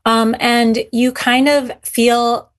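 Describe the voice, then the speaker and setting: A woman's voice: a little high, little pitch movement, slow. A woman who came to America from Syria as a child, talking earnestly about her writing as an interview guest, with frequent fillers and restarts. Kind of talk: casual interview talk.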